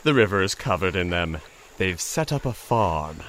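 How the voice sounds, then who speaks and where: Pompous toad hero, formal diction, bright tense voice, lively swings. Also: male voice, low voice, moderate pace, a little hiss or hum. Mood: earnest. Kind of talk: audio drama acting.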